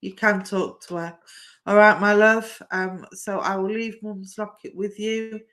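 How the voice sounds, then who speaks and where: A middle-aged woman, chatting warmly through a live-stream card reading and calling viewers "my darling". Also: mid-range voice, steady tone, a little slow. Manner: chatty and affectionate, says "my darling" often. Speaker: psychic medium giving live readings.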